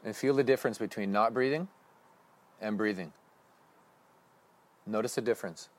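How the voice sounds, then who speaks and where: A man leading a guided breathing meditation with slow, calm, instructive cues. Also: lowish voice.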